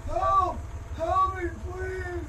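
A man's voice: very high voice, flat delivery, very slow, noisy background, heavily acted. An actor playing a dying man who gasps out desperate, broken fragments. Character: dying middle-aged man, gasping and desperate. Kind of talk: live radio drama acting.

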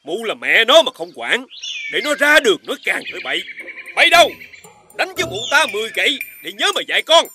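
A man playing an angry, bullying village mandarin in an animated folk tale. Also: very high voice, a little fast, background noise. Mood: furious.

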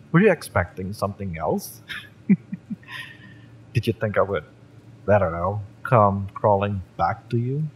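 Male actor for cocky, fiery mercenary in audio roleplay: deep, very slow, taunting and smirking. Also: slight background noise, poor audio. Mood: smug, taunting. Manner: smirking, taunting, drawn-out delivery with chuckles. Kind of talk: acted audio roleplay monologue.

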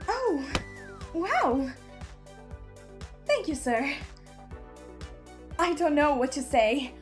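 A woman's voice: high voice, very animated, drawn-out words, exaggerated voice. A woman voicing a polite, cheerful young female angel assistant in a comic dub, speaking expressively. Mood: respectful. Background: background music.

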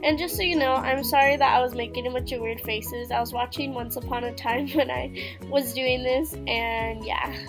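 A teenage girl with a high, nasal, congested voice talks through her beauty routine in a chatty way, full of "um" and "like". Music plays clearly under her narration. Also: fairly even intonation, normal speed. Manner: chatty, with fillers like "um" and "like". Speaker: beauty vlogger. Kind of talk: casual get-ready-with-me voice-over. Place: home living room. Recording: music playing behind the voice.

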